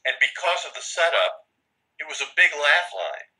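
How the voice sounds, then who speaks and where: An older man, an actor, telling stories in a relaxed, anecdotal way with many pauses and "uh"s, speaking over a video call. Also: fairly high pitch, low-quality recording. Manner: anecdotal, acts out quoted dialogue, frequent "uh". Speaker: actor, interview guest. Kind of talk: storytelling in a video-call interview.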